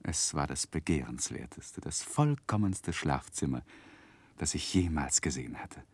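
A man narrating a 1960s radio play as a literary storyteller, measured and expressive. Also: extremely low pitch, slightly rough, no echo.

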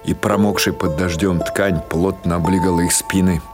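A man reading literary prose as an audiobook narrator, in a measured, expressive storytelling manner. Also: low-pitched, over loud music.

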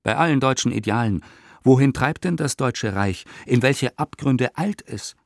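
An actor in a radio play reciting an 1890s newspaper polemic as an impassioned, indignant reader with emphatic rhetorical delivery. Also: male voice, mid-range voice, expressive, normal speed, creaky, dry recording, studio quality. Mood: impassioned outrage. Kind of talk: dramatic radio play recitation of historical press text.

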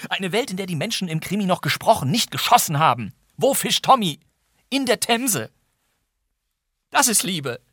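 An actor playing an exasperated, pedantic radio performer who lectures emphatically in a comic radio play. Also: male voice, high-pitched, sing-song, moderate pace, long pauses, bright, forceful tone, slightly rough, dry recording, studio quality, exaggerated voice. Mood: nostalgic, lecturing. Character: exasperated, pedantic male radio actor, nostalgic for old crime serials.

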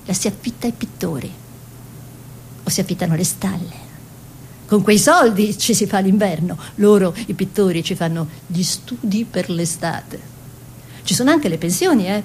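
An actress playing an earthy peasant woman who tells of her village in colloquial, deliberate theatrical monologue with pauses. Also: mid-range voice, darkish tone, slight background noise, close to an everyday voice.

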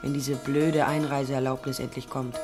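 Low-voiced, firm, protective mother. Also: level tone, moderate pace, over music. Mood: earnest reassuring. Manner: firm, instructing, restrained. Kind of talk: radio play acting.

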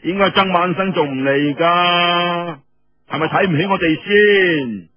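A man's voice: sits high, a little slow, dry recording, highs cut off, soft background music. A man voicing a drunk, brash, devil-may-care young rebel warrior in Cantonese, stammering and blustering. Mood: indignant.

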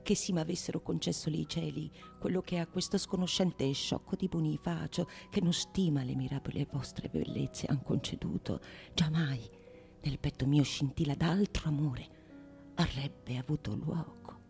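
A woman's voice: deep voice, creaky, a little mellow. A woman playing a devoted male suitor, pleading breathily and intensely in flowery theatrical language. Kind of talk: theatrical monologue of Renaissance comedy.

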